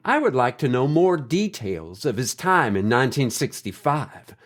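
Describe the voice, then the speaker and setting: A man playing a friendly host of a children's story podcast, speaking to listeners as friends in an animated way. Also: medium pitch, natural voice. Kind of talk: scripted storytelling sign-off.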